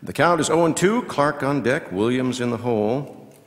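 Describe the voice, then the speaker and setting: Reverberant hall. Seasoned male baseball commentator performed by a man, folksy, highly animated intonation, measured patter and punchy calls. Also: natural voice. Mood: punchy, excited. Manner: measured asides breaking into punchy, drawn-out calls. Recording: long hall reverb from live venue.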